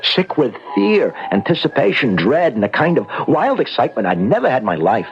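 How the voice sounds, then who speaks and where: An actor playing a nervous, excitable gambler telling his story in an old-time radio drama, in agitated, colloquial style. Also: medium pitch, fairly quick. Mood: anxious confiding. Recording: dull radio broadcast recording with music.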